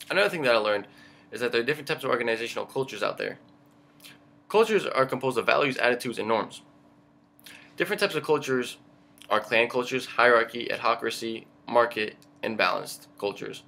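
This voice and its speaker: A young man, a Greek speaker, giving a prepared student self-introduction in an earnest, measured way with small stumbles. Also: mid-range voice, gravelly, sharp tone. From Pennsylvania, United States. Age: young adult, about 19. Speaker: college student.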